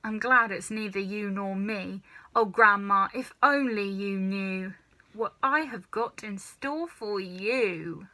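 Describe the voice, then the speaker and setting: A woman's voice: mid-range voice, takes it slowly, sharp tone. A woman voicing a plucky, mischievous eight-year-old boy from a storybook, with theatrical relish. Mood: mischievous glee. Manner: theatrical, chanting rhymes with relish.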